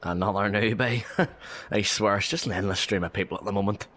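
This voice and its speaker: A man's voice: on the low side, a little fast, fairly bright tone, slight background noise, lightly acted. An actor playing a chatty, cheeky young Victorian working man and father in a historical audio drama, bantering jovially. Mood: jovial, wry.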